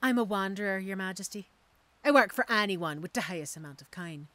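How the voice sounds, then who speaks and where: A woman's voice: mid-range voice, lively intonation, a little fast, a bit bright, no echo, natural voice. Woman acting a cocky, theatrical mercenary woman, swooping intonation. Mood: amused, bargaining. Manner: teasing, theatrical, sardonic. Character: cocky female mercenary assassin, wry, street-hardened, playful. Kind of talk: audio roleplay drama acting.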